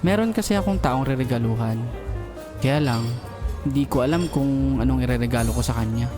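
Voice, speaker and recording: An actor playing a gentle male boss who is in love, speaking softly and earnestly in an audio drama. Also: mid-range voice, brisk, lightly acted. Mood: tender. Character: gentle smitten male boss, secretly playful. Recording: music bed under voice. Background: romantic background music.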